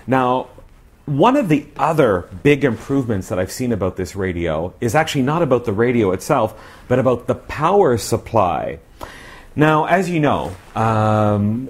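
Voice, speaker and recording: A man's voice: fairly low pitch, darkish tone. A middle-aged man giving a casual, emphatic product review to camera, repeating phrases for stress, on a lapel mic in a living room. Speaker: radio reviewer. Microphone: clip-on lapel mic.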